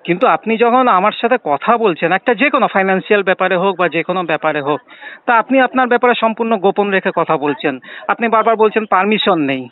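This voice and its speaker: A high-pitched man with a West Bengal Bengali accent, speaking over a thin, narrow phone line. He argues like a persistent customer, pressing questions and circling back to his points. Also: lively intonation, fast talker. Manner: insistent, questioning, repeats himself. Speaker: bank customer on a debt recovery call. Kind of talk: argumentative phone conversation. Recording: tinny, narrow-band phone line recording.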